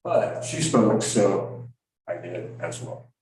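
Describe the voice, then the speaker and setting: A man's voice: low-pitched, slow, darkish tone. A man from the public speaks at a town board meeting, hesitant and rambling, with many ums. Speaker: resident giving public comment. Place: council meeting room. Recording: very echoey hall, distant mic.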